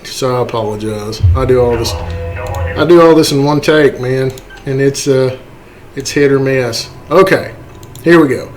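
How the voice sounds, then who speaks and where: A man's voice: mid-range voice, a little slow, soft background music. A man giving a casual, unscripted tutorial in a folksy, self-deprecating way. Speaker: tutorial host. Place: indoors, voice-over to screen capture.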